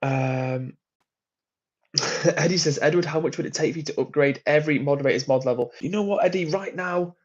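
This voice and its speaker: A man's voice: fairly high pitch, normal speed, highs cut off. A man hosting a casual livestream from a hotel room, reading out viewer comments in a friendly, rambling way. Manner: chatty, rambling, friendly to chat. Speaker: livestream host.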